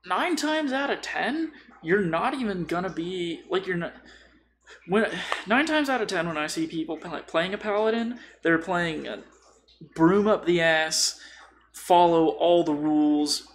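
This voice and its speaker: A man's voice: high-pitched, expressive, measured pace. A man chatting casually on a podcast about tabletop role-playing, informal and rambling, swearing freely. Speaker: podcast co-speaker.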